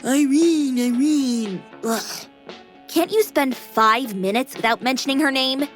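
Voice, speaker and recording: A woman's voice: high voice, slow, soft background music. An actress playing a cute, devoted AI maid girl in a video game, speaking in a polite but teasing, pert manner. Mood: jealous.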